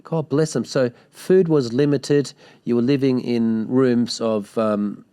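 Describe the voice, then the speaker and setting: A male television host speaks in a mellow, darker tone. He is gushing and full of praise for his guest, and his sentences come with frequent ums and hesitations. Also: medium pitch, fairly even intonation, slow pace, clean tone. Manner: effusive, praising, with many hesitations. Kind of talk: TV interview.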